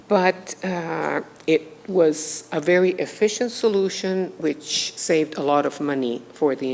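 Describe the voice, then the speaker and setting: A woman giving a formal lecture, measured and hesitant, with frequent pauses and restarts. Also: low voice, creaky, a little mellow. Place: lecture hall. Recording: long hall reverb.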